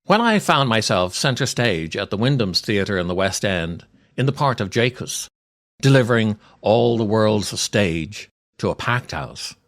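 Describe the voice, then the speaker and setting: A man, reading a scripted comic monologue in a wry, theatrical way with deliberate pauses. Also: medium pitch, fairly bright tone. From Ireland. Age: 60s. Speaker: podcast host and storyteller.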